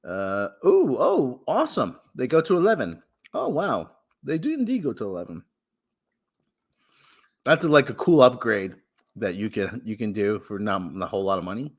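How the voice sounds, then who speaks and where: Guy hosting a livestream podcast, chatty, voice jumps all over. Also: moderate pace. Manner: lively, chatty, talks baby talk to his cat in a high voice. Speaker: podcast host. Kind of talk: casual live-stream chat.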